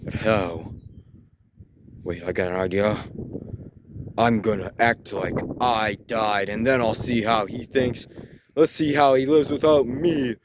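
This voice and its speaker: A young man playing a silly, self-styled traumatized veteran in an amateur comedy skit, jokey and switching between loud lines and mutters. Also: fairly low pitch, takes it slowly, pauses often, background noise. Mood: scheming. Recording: loud music behind voice.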